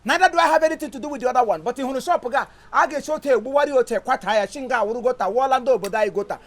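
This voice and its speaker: A man's voice: very high voice, very fast. A man playing an arrogant, domineering local councillor who barks orders. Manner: pompous, domineering, shouting orders. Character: arrogant bullying male councillor, middle-aged. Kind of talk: Nollywood drama acting.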